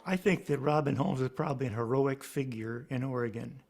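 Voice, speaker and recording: A man's voice: mid-range voice, fairly quick, vocal fry, darkish tone, faint music behind. A man offering considered commentary on history in a documentary interview.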